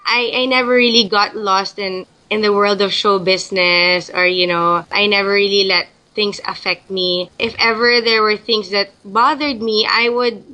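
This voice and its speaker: Bright-voiced chatty woman from the Philippines. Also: mid-range voice, fairly even intonation, moderate pace, hardly any pauses, rough recording. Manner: chatty, thinking aloud with many restarts. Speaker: guest. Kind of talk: casual interview answers.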